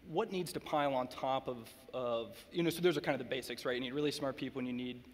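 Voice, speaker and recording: Onstage interviewer, man in his thirties, measured and even-pitched, hall reverb, faint room noise. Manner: measured, sets up questions with context. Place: large hall stage, seated in armchair.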